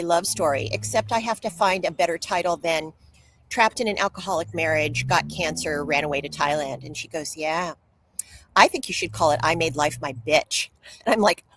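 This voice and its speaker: A woman's voice: medium pitch, rough recording. A woman speaking as an interview guest and author, telling personal stories in a candid, open way.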